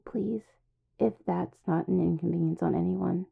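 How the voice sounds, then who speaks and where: An anxious young necromancer acted by a woman in an intimate audio roleplay, devoted and earnest. The voice is heavily creaky and husky, and the words come out hesitant and stammered. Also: fairly low pitch, fairly even intonation, normal speed, narrow, tinny audio, full character voice. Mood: panicked, apologetic.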